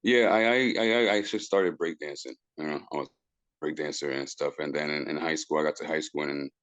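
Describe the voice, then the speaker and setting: Man, forties, very low voice, dry close mic, restarts a lot. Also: a bit bright, no room sound, muffled recording. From Puerto Rico. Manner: halting, repeats words and restarts, slips into Spanish phrases. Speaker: musician guest. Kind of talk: casual interview talk.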